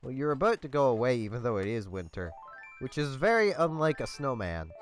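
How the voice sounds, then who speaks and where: A man's voice: mid-range voice, big pitch swings, a little fast, faint music behind. A congested man hosting a let's play from home, rambling through casual commentary with lots of ums and small laughs.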